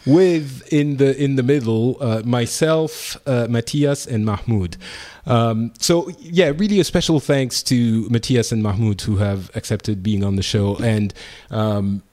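A man's voice: medium pitch, unhurried, a little mellow. A man with a French accent hosting a casual group discussion, hesitant with many fillers and restarts. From France. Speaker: podcast host.

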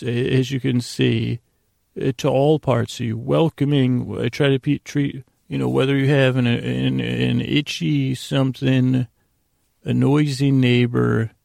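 A man with a heavily gravelly, creaky voice at an ordinary pitch, recorded close and dry. He hosts a sleep podcast, wandering through whimsical asides and often breaking off to restart his thought. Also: darkish tone, no echo. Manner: meandering, halting, self-interrupting, whimsical. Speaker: podcast host. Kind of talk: rambling bedtime sleep podcast monologue.

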